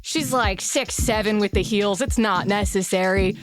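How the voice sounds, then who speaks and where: A woman's voice: mid-range voice, normal speed, music in the background. A woman talking casually and improvising as a player in a tabletop game, giggly and playfully smug. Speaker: tabletop role-playing player.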